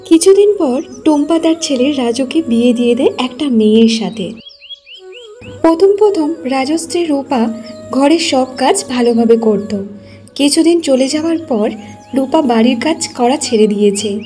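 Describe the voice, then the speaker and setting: A woman's voice: sits high, expressive, normal speed, mellow, soft background music. A woman narrating a scripted cartoon folk tale as the storyteller.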